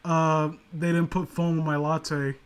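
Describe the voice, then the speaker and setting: A man's voice: on the high side, little pitch movement, unhurried, clean tone. A man co-hosting a casual comedic commentary, rambling sarcastically with crude humour. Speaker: co-host of a comedic commentary show.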